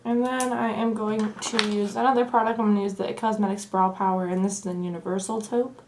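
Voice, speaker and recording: A young woman with a Southern accent, hoarse from allergies, chatting casually through a makeup tutorial. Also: mid-range voice, fairly quick, soft background music. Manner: chatty and relaxed, says "like" often. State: hoarse from allergies, losing her voice. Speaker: beauty vlogger. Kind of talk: casual get-ready-with-me tutorial.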